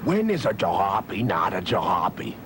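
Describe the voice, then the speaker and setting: A man's voice: lowish voice, very noisy, full character voice. A man playing a zany, theatrical joker who announces like a game-show host on a children's educational puppet show.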